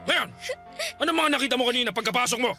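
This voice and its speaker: A man's voice: very high-pitched, fast, sharp tone, soft background music, full character voice. A man playing an abusive, hot-tempered middle-aged Filipino uncle in a radio drama, scolding and barking threats. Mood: menacing.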